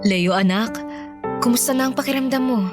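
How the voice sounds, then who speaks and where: A woman's voice: a little high, fairly quick, loud music behind the voice, natural voice. Woman acting a tender, protective mother. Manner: gentle, reassuring. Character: tender, protective widowed mother of two boys. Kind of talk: Filipino radio drama acting.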